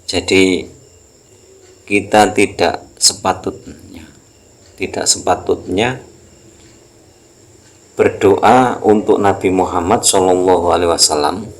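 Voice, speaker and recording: Male religious teacher, Javanese accent, very low creaky voice, halting explanatory delivery of a text lesson. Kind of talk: Islamic text lesson, reading aloud and explaining.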